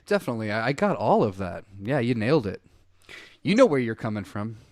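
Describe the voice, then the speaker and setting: A man's voice: mid-range voice, sing-song, a little fast, a little mellow, no room sound, studio quality. A man hosting an interview over a call, talking casually and rambling with many fillers. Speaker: podcast host. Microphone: headphones on a call.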